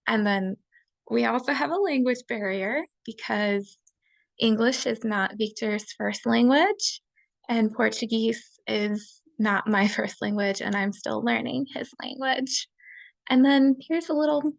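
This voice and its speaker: A young woman walks through a class slideshow over a video call, chatty and quick to laugh, dropping fillers as she goes. Her voice sits a little high and has a gravelly fry, and she takes her time. The sound is dry and close, with no room around it. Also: dry recording. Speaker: student presenting a class assignment. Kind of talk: casual slideshow presentation.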